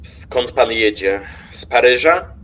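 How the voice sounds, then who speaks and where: An actor playing an officious, sardonic train conductor in a radio play, formal and curt. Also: male voice, mid-range voice, expressive, takes it slowly, highs cut off, slight background noise. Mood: stern, exasperated.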